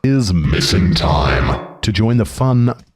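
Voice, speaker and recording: Deep, layered demonic announcer. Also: male voice, sing-song, takes it slowly, heavily acted. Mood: ominous, theatrical. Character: demonic male announcer from the hollow earth. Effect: layered pitched-down demonic voice, some reverb. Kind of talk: scripted radio show intro.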